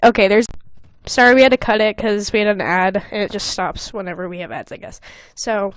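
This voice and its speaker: A woman's voice: mid-range voice, fairly quick, no echo. A young adult woman hosting a podcast, casually reading old fan fiction aloud with giggly, sweary comic asides. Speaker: podcast host.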